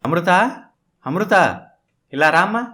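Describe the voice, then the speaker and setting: A man playing a mild middle-aged father who talks in a measured, reasoning way in an animated moral story. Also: sits high, exaggerated voice. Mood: approving, gentle.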